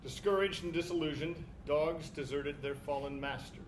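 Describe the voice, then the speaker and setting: A man with a slightly high voice narrates a story in a staged reading. Faint noise sits behind him in the live recording. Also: normal speed. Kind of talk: dramatized literary storytelling.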